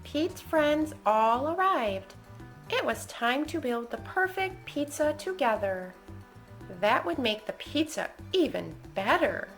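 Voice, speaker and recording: The woman at library story hour, singing out refrains in a high voice, slow enough for toddlers, music playing softly along. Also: very animated. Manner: playful, exaggerated, sing-song refrains. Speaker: children's book reader. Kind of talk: animated picture-book read-aloud.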